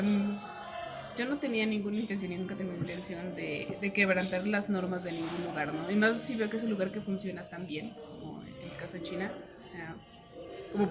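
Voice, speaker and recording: A woman with a Mexican accent telling a personal story casually as an interview guest, animated when she retells exchanges. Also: medium pitch, a little fast, over music.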